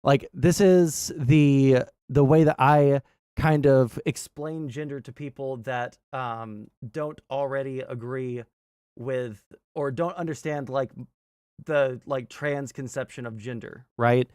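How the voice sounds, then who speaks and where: A man's voice: medium pitch, steady tone, darkish tone, clear, resonant tone, no room sound, studio quality. A man hosting a casual gaming livestream, rambling through his points in a blunt, sweary way and often checking in with "right?". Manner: rambling, halting explanations peppered with "right?". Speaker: livestream host.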